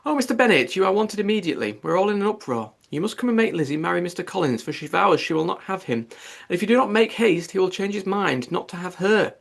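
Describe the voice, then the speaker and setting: Audiobook mother, played by a man: middle-aged, fretful, self-pitying, raised pitch, agitated and melodramatic. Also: animated, quick pace, creaky, heavily acted. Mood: flustered, urgent.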